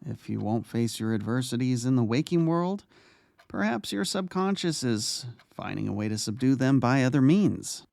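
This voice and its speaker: A patient audio drama therapist, acted by a man, measured and reflective. His mid-pitched voice has noticeable fry and varied melody as he gently probes, recorded dry. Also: big pitch swings, no room sound. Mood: calm, probing. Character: calm, probing male therapist, patient doctor.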